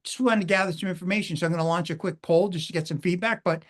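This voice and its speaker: A man's voice: sits high, fast talker. A middle-aged man hosting a webinar in a casual, chatty way, full of informal asides. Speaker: webinar host.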